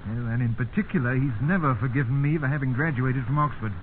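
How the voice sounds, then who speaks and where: An actor playing a commanding, self-assured army officer in a radio drama, with a clipped manner. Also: male voice, medium pitch, fast talker, natural voice. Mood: smug. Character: smug, commanding Oxford-educated British army officer. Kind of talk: 1950s radio acting. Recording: narrow, noisy 1950s radio broadcast.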